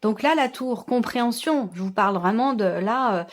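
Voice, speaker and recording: A woman's voice: fairly high pitch, normal speed. A woman giving an unscripted tarot reading to viewers, feeling her way through sentences and often rephrasing. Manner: hesitant phrasing, circles back and rephrases. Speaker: tarot reader.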